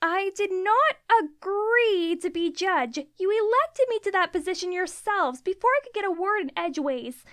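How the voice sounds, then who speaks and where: A woman's voice: very high-pitched, expressive, normal speed, hardly any pauses, a bit bright. A woman voicing an exasperated, battered female unicorn in a cartoon-style fan audio drama, weary but earnest. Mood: fed up.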